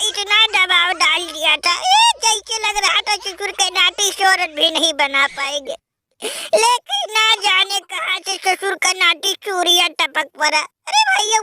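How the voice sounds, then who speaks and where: A man voicing a sobbing, beaten cricketer in a comic cartoon, with a squeaky, sped-up voice, wailing melodramatically. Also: sharp tone, slight background noise, heavily acted. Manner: melodramatic wailing, crying while talking.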